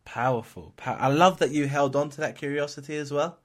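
A man's voice: on the high side, brisk. A man hosting an interview, asking questions and affirming the guest's answers encouragingly. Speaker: interview host. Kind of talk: conversational interview.

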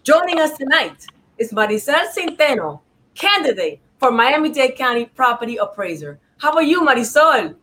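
A woman's voice: sits high, sing-song, moderate pace, fairly bright tone, slight background noise. A woman from New York hosting a talk show, interviewing a guest in an upbeat, friendly, chatty way.

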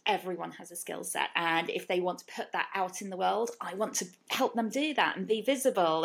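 A woman's voice: on the low side, vocal fry. A woman hosting a podcast interview, asking her questions in a rambling way with lots of fillers and restarts. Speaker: podcast host, web designer. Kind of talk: casual interview chat.

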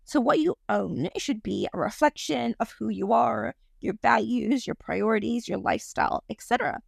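A woman in her thirties hosting a podcast, talking casually on her own with a croaky voice, rambling and apologizing for her digressions. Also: fairly high pitch, lively intonation, moderate pace, no echo, studio quality. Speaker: podcast host. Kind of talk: casual solo podcast monologue.

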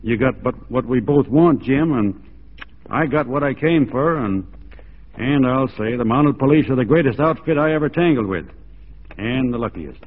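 A laconic, good-natured cowboy lawman, played by a man in an old radio drama. His voice is husky and he talks in halting, folksy understatement. The recording is thin, tinny vintage radio. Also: medium pitch, lively intonation, natural voice. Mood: resigned, warm. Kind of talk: 1940s radio drama acting.

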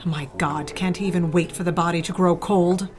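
Actress as cold, low-voiced society mother. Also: fairly even intonation, moderate pace, natural voice. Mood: scornful. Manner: haughty, clipped. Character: cold, disapproving society mother, proud and withholding. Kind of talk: dramatic audio fiction.

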